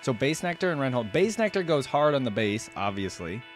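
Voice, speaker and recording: A man in his twenties talks as a casual reaction host. His voice sits at an average male pitch, and his phrasing is loose, slangy and full of hedging. He is close on a desk microphone, with music playing prominently behind him. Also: very animated, brisk. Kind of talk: casual live reaction chat to viewers. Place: small indoor home streaming setup. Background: electronic music.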